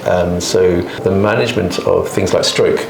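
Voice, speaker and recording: A middle-aged man speaks thoughtfully in a low, gravelly register, pausing and repeating words as he answers. The room echoes noticeably around him. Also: fairly even intonation. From born in Hong Kong, living in the UK before China. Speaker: interview guest, emergency doctor. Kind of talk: conversational interview answers. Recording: roomy echo, clear background noise.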